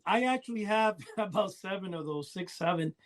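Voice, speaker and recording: A man's voice: high voice, moderate pace. A middle-aged man co-hosting a podcast, telling rambling, nostalgic stories in casual chat. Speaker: podcast co-host.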